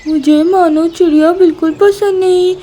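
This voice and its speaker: Someone voicing a high-pitched, scheming male crow. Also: fairly even intonation, normal speed, dark tone. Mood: sly.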